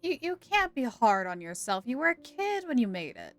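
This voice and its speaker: A woman's voice: a little high, very animated, normal speed, soft background music. A woman livestreaming a game, reacting casually in a chatty, playful, giggly way.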